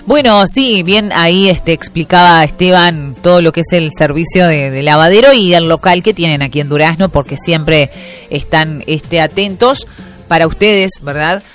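A woman's voice: lowish voice, moderate pace, soft background music. A Uruguayan woman hosting a local radio show, chatting casually and reading listener messages with lots of fillers. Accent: Uruguayan Spanish. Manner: chatty, many fillers and restarts. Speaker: radio host.

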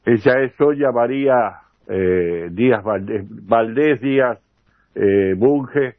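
An older man with a Uruguayan accent hosting a radio interview programme, with a halting delivery that often repeats words. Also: medium pitch. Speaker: radio host. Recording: tinny phone-like radio sound, roomy echo.

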